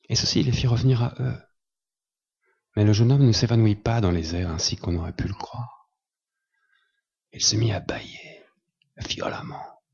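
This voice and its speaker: Male reader, husky and low, measured storytelling, muffled event recording. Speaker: reader at a literary tribute. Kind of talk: literary reading aloud. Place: small cinema salon.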